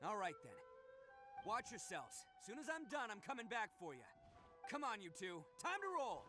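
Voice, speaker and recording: An actor playing a brash, boastful, big-hearted young gang boss in a video game, with a cocky, dramatic delivery. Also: male voice, high voice, big pitch swings, normal speed. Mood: rousing. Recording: game dialogue over music.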